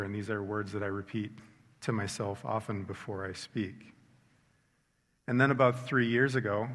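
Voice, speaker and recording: Earnest middle-aged man, low voice, reverberant hall. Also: fairly bright tone. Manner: sincere, measured, with slow emphatic pauses. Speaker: speaker giving a personal faith testimony. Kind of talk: earnest reflective testimony talk.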